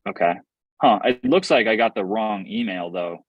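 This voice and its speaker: A man's voice: fairly low pitch, moderate pace, dry recording, narrow, tinny audio. A man hosting a live real estate workshop on a video call, upbeat and hyping up his audience. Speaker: real estate investing host.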